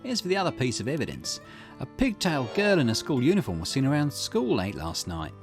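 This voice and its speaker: A man reads a visual novel's dialogue aloud as a gaming host, at an ordinary middle pitch. His delivery is expressive, with small stumbles now and then, and faint music plays behind him. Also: fairly quick. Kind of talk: reading game dialogue aloud.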